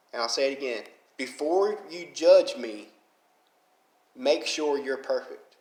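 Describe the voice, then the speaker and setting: A man's voice: mid-range voice, a little mellow, roomy sound. A man with a strong Southern Alabama accent, talking in a casual rambling monologue, full of "you know" fillers and getting fired up. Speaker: mobile game player.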